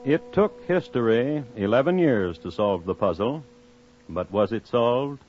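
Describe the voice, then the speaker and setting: An actor playing a dry, solemn crime historian who narrates a 1950s radio drama in a measured, deadpan way. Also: male voice, mid-range voice, faint music behind, close to an everyday voice. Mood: wry, measured. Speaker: host narrator. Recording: muffled 1950s radio recording.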